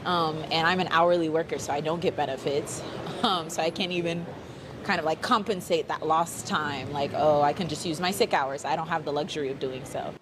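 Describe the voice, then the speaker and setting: Low-voiced woman, noisy street interview. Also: brisk. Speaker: interviewed traveler. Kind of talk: casual on-the-spot interview. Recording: noisy location with vehicle sounds.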